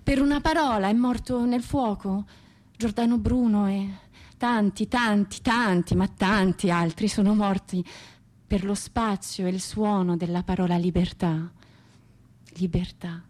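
A woman's voice: medium pitch, a little mellow, lightly acted. Passionate, declamatory woman poet, played by an actress. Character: proud, passionate, rebellious Russian woman poet. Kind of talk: theatrical poetic monologue.

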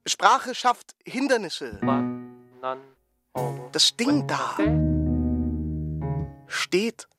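Music plays behind a musing observer in a radio play. The words come extremely slowly, in halting, pondering fragments, with a lively swing in pitch. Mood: emphatic, musing. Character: musing observer puzzling over a twittering thing. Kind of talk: experimental radio play monologue.

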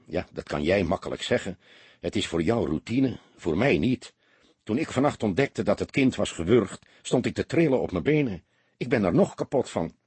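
A man's voice: fairly low pitch, expressive, normal speed, darkish tone, slightly rough, no room sound. Man voicing a nervous young junior detective. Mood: rattled. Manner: timid, defensive, stammering when upset.

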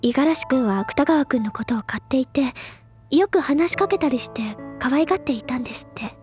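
Bookish anime drama schoolgirl, high voice, earnest n refined, kinda gushy, music behind. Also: lively intonation, brisk. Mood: concerned, gentle. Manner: effusive, refined feminine speech, gently persuasive. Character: bookish, earnest teenage schoolgirl, dreamy gourmet of literature. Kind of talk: Japanese audio drama, anime-style acting.

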